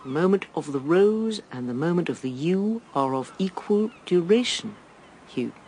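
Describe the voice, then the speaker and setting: A measured female voice, thoughtful and composed. She is a wise, calm sister in a radio play, with faint ambient noise behind her. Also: lowish voice. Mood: calm, philosophical. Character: wise, calm sister philosophising about love and fate. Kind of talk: radio drama acting.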